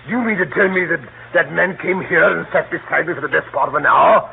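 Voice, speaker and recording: A tinny vintage radio recording with slight hiss. a blustering, flustered British official, his voice gravelly and creaky as he sputters in theatrical 1930s style. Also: a little high, fairly quick. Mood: incredulous, flustered. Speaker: radio drama actor. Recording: scratchy, tinny 1938 radio broadcast.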